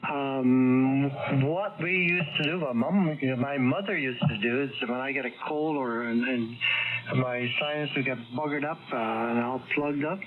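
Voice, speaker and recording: A man's voice: medium pitch, measured pace. A man talks casually as an amateur radio operator over a radio link. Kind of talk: casual reminiscing. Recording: thin, noisy phone-like radio transmission.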